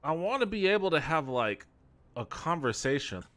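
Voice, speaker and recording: A man's voice: a little high, very animated, normal speed, fairly bright tone. A man streaming a game with casual commentary, rambling and bluntly critical as he goes. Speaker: game streamer and podcast host.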